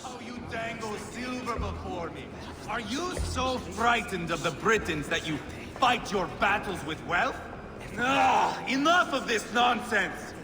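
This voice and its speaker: A man's voice: sits high, expressive, measured pace. A man voicing a bitter, taunting, bloodthirsty Norse warrior in a video game, mocking and impatient. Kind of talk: video game drama acting. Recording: reverberant hall under loud music.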